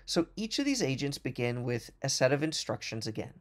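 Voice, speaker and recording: A man presenting a product demo as a voice-over on a screen recording, explaining in a fluent, fast corporate manner with occasional restarts. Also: medium pitch, very animated.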